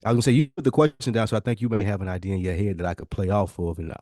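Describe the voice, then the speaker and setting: A man's voice: on the low side, very fast, dark tone, dry recording, clean studio sound. An American man co-hosting a casual podcast discussion, speaking bluntly and repeating phrases for emphasis. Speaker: podcast co-host.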